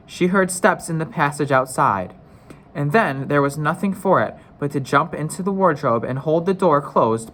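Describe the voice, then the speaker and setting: A man's voice: on the high side, a bit bright. A man narrating a children's storybook as a read-aloud, careful, with the odd stumble and re-read phrase. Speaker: story reader.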